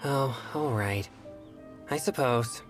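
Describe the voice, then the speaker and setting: A woman's voice: rumbling low, takes it slowly. A woman voicing an earnest, grumbling young adventurer in a video game, plain-spoken and put-upon. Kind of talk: video game character acting. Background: music.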